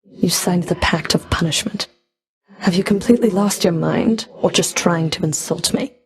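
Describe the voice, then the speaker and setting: A woman's voice: lowish voice, moderate pace, slightly rough, faint music behind, poor audio. A woman playing a stern, scornful Fury of the underworld in a video game, speaking in a cool, clipped, disdainful manner. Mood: angry. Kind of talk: video game combat and dialogue voice lines.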